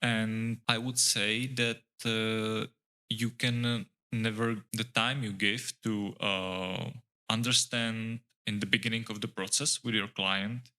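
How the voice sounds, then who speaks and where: A middle-aged man speaks English in a low, level voice with a bright, forward edge. He talks in a considered, halting way, stopping often to search for the next word. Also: flat delivery. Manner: thoughtful, halting, with many "uh" pauses and restarts. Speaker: architect guest. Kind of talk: casual interview chat.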